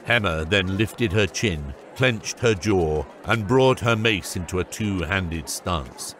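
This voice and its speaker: A man's voice: low voice, lively intonation, takes it slowly, fairly bright tone, slight background noise. A man narrating a scripted fantasy audiobook.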